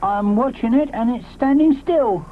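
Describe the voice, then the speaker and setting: A man voicing a grumpy, stubborn male allosaurus with a gruff, stammering manner in a storybook. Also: very high-pitched, moderate pace, voice pushed up. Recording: tinny lo-fi with faint hiss.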